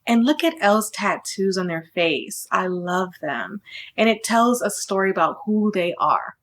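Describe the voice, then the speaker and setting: A woman's voice: medium pitch, expressive, measured pace, a bit bright. A woman reading a children's story aloud, with friendly, casual asides that explain it. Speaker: storybook reader.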